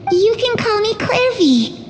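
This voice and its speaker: A woman's voice: very high voice, sing-song, slow pace, very noisy, exaggerated voice. A woman voicing a cheerful, mysterious little phantom girl in a video game, polite and playful. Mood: friendly, playful. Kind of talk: video game dialogue acting. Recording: music playing behind the voice.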